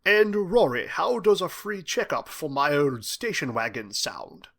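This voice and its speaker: A man voicing a suave, smug math teacher for a storybook, smooth and polite, with a British accent. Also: a little high, animated, measured pace, a bit bright, voice pushed up, exaggerated voice. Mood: smug, breezy. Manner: smooth, polite, drily self-satisfied.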